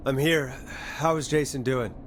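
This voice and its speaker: An actor playing a composed, caring late-night radio host in a video game, speaking in a steady, conversational way. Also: male voice, medium pitch, moderate pace, background noise, lightly acted. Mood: calm, instructive. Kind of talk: natural video game voice acting.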